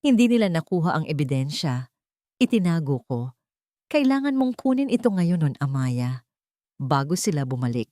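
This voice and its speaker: A woman's voice: low voice, very animated, moderate pace, pauses often, mellow, dry recording, studio quality. A woman voicing a terrified, breathless older woman giving urgent warnings in an audio story.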